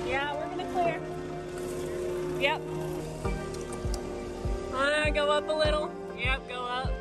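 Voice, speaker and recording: A woman's voice: sits high, slow pace, loud music behind the voice. A woman, gushing casually over old cars outdoors on a phone camera. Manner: excited, gushing. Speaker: vlogger. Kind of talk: casual vlog narration. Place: outdoor junkyard.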